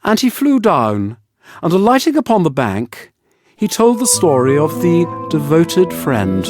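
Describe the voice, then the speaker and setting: A man narrating an audiobook fairy tale in an expressive, scripted storybook style. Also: a little high, measured pace, over music.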